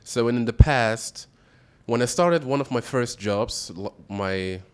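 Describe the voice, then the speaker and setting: Animated Austrian man telling stories. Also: medium pitch, unhurried, clear, resonant tone. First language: German. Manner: animated storyteller, acts out voices in anecdotes. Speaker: guest, strategic advisor. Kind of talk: casual podcast conversation.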